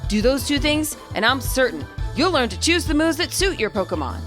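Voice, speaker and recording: Woman doing a confident big-brother champion, declamatory, encouraging, game character, animated pitch, music behind. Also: brisk. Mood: proud, encouraging.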